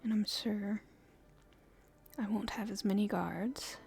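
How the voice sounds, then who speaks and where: Woman acting a breathy, whispering young princess. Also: mid-range voice, steady tone, takes it slowly, a little mellow, heavily acted. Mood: soft, confiding. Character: playful young princess, tender, teasing, secretly in love. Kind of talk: intimate ASMR romance roleplay to the listener.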